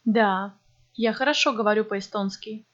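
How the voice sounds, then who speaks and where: A woman reading scripted textbook dialogues for a language course, speaking slowly and clearly. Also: medium pitch, fairly even intonation, fairly bright tone. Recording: dull lo-fi audio.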